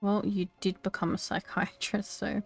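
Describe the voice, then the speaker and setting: A woman's voice: medium pitch, flat delivery, moderate pace, gravelly, slightly rough, faint music behind. A woman streamer giving casual, informal, reactive commentary on a game she is playing, close on the microphone.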